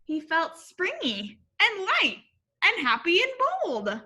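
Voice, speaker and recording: A woman reading a picture book aloud in an animated, expressive way, as if to young children. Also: extremely high pitch, slow pace. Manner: expressive, addressing young listeners.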